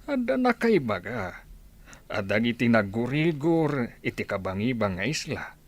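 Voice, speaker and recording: A man's voice: medium pitch, very animated, measured pace. A man playing a grave official giving a report in a radio drama.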